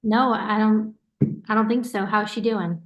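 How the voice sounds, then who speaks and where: A woman's voice: mid-range voice, fairly even intonation, a little slow, dull audio, lightly acted. A woman acting in a naturalistic play reading as a caring, teasing and watchful sister. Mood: suspicious. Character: caring, teasing, suspicious sister of a young man. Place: remote online staged reading.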